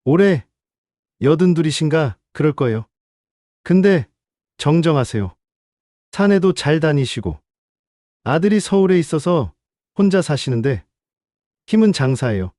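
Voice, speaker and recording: A woman's voice: low voice, sing-song, a little slow, pauses often, mellow, no echo, pitched below the performer's own voice. A woman putting on the voice of a hot-tempered middle-aged uncle in an audio drama, blunt and agitated, recorded dry. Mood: confiding.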